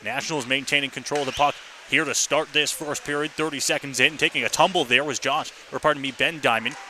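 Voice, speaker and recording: A man's voice: medium pitch, fairly even intonation, quick pace, bright, forceful tone. A man calling a live hockey game on the radio as the play-by-play announcer. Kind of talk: live radio sports commentary. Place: ice hockey arena. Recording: live rink broadcast with faint noise.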